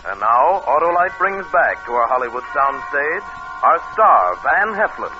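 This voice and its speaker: A man's voice: mid-range voice, big pitch swings, measured pace. A man making dramatic scripted announcements in the style of 1940s radio. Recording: muffled old radio recording, loud music.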